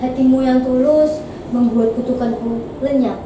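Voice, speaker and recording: A woman's voice: high voice, fairly even intonation, moderate pace, heavy reverb, far from the mic, background noise, lightly acted. A woman voicing a noble, earnest young man in a folktale. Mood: noble, grateful. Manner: wise, earnest. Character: noble, grateful handsome young man freed from curse.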